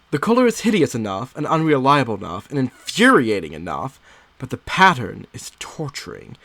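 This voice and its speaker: A man's voice: medium pitch, fairly bright tone, no room sound. A man voicing an anxious, secretive young wife in dramatic, theatrical first-person literary narration. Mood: agitated.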